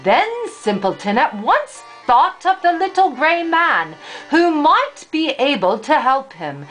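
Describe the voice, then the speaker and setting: An older woman reads a fairy tale aloud to children as a grandmother would, in a deliberate, animated storytelling style. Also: high voice, low-quality recording.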